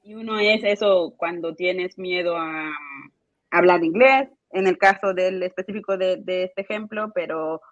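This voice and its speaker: A woman's voice: on the low side, measured pace, dry recording. A woman guest in an interview explaining her course design work, hesitant, with many fillers and restarts. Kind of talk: casual interview answers. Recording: dull lo-fi dry sound.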